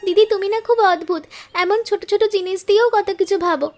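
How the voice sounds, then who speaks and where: A woman plays a young flower-selling girl in a cartoon fable. Her voice is extremely high and sweet, and she speaks earnestly and fondly, like a devoted little sister. Music plays underneath. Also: fast. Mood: eager. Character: eager, affectionate young younger sister, poor flower seller. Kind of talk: Bengali cartoon moral-story dialogue.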